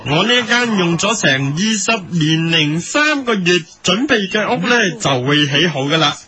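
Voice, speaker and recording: A man's voice: sits high, very animated, normal speed, pushed and bright, heavily acted. An actor playing a cheerful but wistful elderly villager who chuckles and sighs as he rambles in a mock documentary radio comedy. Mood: contented, proud. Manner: chuckling, sighing, rambling storyteller.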